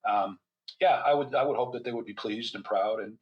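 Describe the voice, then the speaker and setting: A man's voice: medium pitch, fairly quick, highs cut off. An older man speaking as an interview guest, telling anecdotes in a rambling, conversational way. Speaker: guest author and physician.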